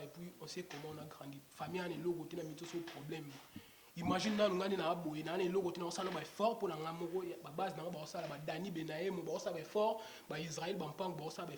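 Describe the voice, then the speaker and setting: Young congolese guy rambling in an interview, kinda high voice, echoey room w/ noise behind. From Democratic Republic of the Congo. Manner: rambling, many restarts and fillers. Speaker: rapper guest. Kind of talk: casual interview.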